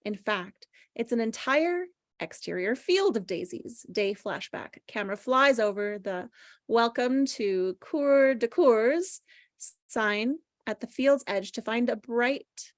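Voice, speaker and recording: A woman's voice: fairly high pitch, expressive, slow pace, dry recording, studio quality. A woman taking part in a table read, chatting casually and reading scripted action descriptions. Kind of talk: casual chat and scripted narration.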